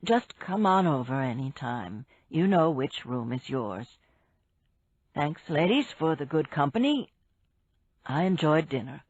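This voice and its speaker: Woman voicing a low, subdued, weary man. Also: sing-song, measured pace, a little mellow, breathy edge, dry recording, highs cut off, exaggerated voice. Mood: weary, polite. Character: saddened, courteous, weary man excusing himself.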